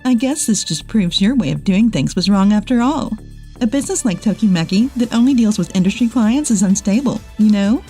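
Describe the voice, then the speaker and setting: Woman acting expressive businesswoman with swooping, mellow delivery. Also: medium pitch, a little fast, faint music behind, close to an everyday voice. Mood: smug. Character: bitter, bossy female ex-boss, and calm capable female employee. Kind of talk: dubbed comic drama narration.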